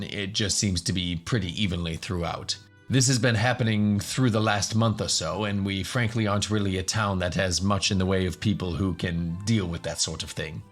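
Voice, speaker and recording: A man playing a courteous professor in a fantasy radio story, polite, slightly hesitant and explanatory. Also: sits low. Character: courteous male professor hosting a band of adventurers.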